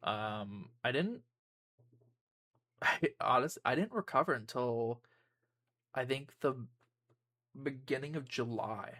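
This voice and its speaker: A man's voice: mid-range voice, lively intonation, slow, long pauses, bright, forceful tone. A young man, a YouTuber and streamer, giving a casual personal update to his viewers, rambling with frequent ums and restarts.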